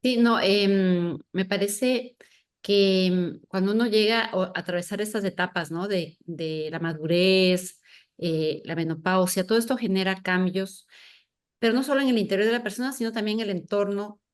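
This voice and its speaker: A woman's voice: mid-range voice, moderate pace. A woman hosting a podcast, talking reflectively and searching for her words as she goes. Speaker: podcast host. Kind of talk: reflective conversational talk.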